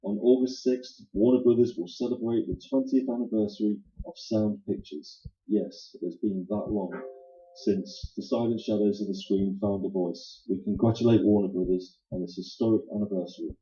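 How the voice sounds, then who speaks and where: A man's voice: lowish voice, fairly even intonation, moderate pace, dark tone, a little hiss or hum. A man announcing in 1940s radio style, with polished, salesmanlike delivery. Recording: muffled sound in echoey room.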